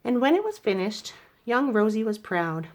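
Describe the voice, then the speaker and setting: A woman reading a rhyming children's story aloud in an expressive narrating style. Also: fairly high pitch, normal speed. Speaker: storybook reader.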